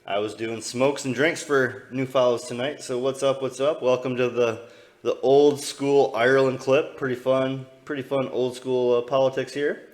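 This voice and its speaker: A man's voice: mid-range voice, fairly even intonation, moderate pace, a little mellow, slight background noise. Young midwestern american man, casual streamer commentary, loose rambling, swears freely. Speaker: streamer reviewing clips.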